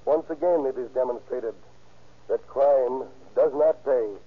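A man's voice: medium pitch, unhurried, very noisy. A man giving a stern, formal scripted address in 1930s radio style, on a tinny old recording. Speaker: guest commentator giving a closing moral.

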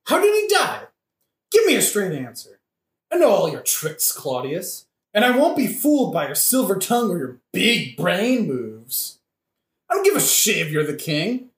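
A woman's voice: mid-range voice, huge pitch swings, moderate pace. A woman playing a hot-headed, foul-mouthed young male avenger in a satirical audio play, brash and blustering. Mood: vengeful. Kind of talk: satirical modern-language audio play acting. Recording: roomy, echoing audio-play recording.

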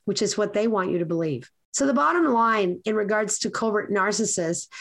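A woman with a raspy, hoarse voice hosting a podcast, giving earnest, rambling advice in a casual lecturing way. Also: medium pitch. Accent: Canadian. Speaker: podcast host.

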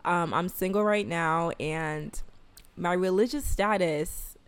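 A woman's voice: on the low side, takes it slowly, smooth. A woman in her twenties hosting a podcast in a casual, conversational way. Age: 20s. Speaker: podcast host.

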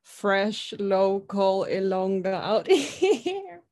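A woman in her twenties from the Philippines, a podcast co-host and student, chatting in an easygoing, soft way. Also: medium pitch, big pitch swings, drawn-out words, no echo, clean studio sound. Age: 20s. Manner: easygoing, soft, laughs lightly. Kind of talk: casual podcast introduction.

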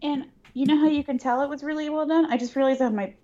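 Woman, podcast co-host, hoarse scratchy throat, fairly high voice, dull muffled recording. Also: fairly even intonation, quick pace, mellow. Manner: profane, joking, rambling. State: scratchy throat, voice partly lost. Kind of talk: casual chat.

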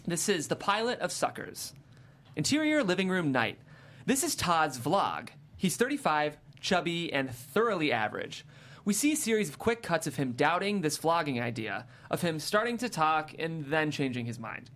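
A woman's voice: low voice, very animated, normal speed, a bit bright. A woman hosting a show and reading scripted stage directions with enthusiasm. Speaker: host and stage-direction reader.

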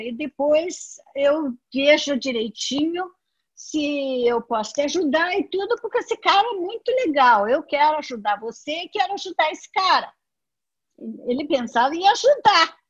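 A woman's voice: high-pitched, lively intonation, measured pace. A Brazilian woman with a Brazilian Portuguese accent gives a personal testimony to an audience, telling her story with animation and acting out the dialogue she quotes. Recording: dull lo-fi audio.

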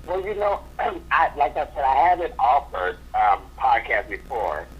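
A man's voice: medium pitch, big pitch swings, normal speed, slightly rough. A man, a drag performer, talking as a guest in a casual interview, sassy and blunt in his opinions. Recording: dull lo-fi recording.

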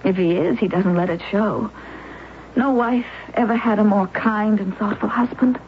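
A woman's voice: mid-range voice, normal speed, a little hiss or hum, lightly acted. An actress playing an anguished young wife in a wheelchair who narrates her own story, in a 1970s radio drama. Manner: intense, pleading, breaking into despair. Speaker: narrator and lead character. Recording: muffled old radio broadcast with music.

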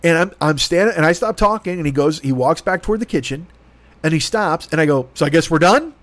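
A man's voice: a little high, lively intonation, fairly quick, fairly bright tone, no room sound. A middle-aged man from Chicago with a Chicago accent, telling a long exasperated story in a heated, profane rant. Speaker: podcast host.

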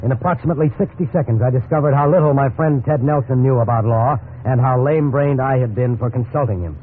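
A man's voice: medium pitch, fast talker, close to an everyday voice. An actor playing a distraught, self-blaming working family man in a 1950s radio drama, rueful and hesitant under strain. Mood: resolute. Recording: noisy, tinny 1950s radio recording.

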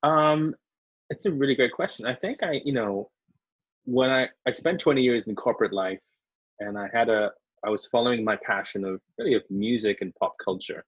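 A man from Sri Lanka, a consultant and author, telling his story in a relaxed, reflective way as a guest over a video call from his home studio. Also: medium pitch, normal speed, husky, no echo, highs cut off.

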